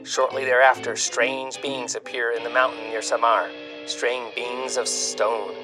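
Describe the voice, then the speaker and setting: A man with a mid-pitched voice presents a scripted film-magazine segment. His delivery is theatrical and tongue-in-cheek, with rhetorical questions set up for the listener. Guitar music plays clearly underneath. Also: little pitch movement, unhurried, rough recording. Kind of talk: scripted magazine-review narration.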